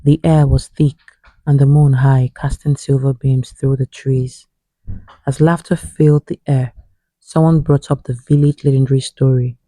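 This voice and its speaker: A man narrating a scripted horror folktale as a storyteller, in a grave, suspenseful manner with a husky voice. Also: medium pitch, little pitch movement, warm tone, no room sound, rough recording. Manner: slow, grave, suspenseful delivery.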